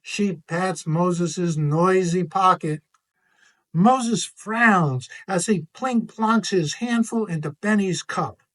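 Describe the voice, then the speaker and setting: A man's voice: high voice, slow pace, a bit bright. A man reading a children's picture book aloud expressively as its author.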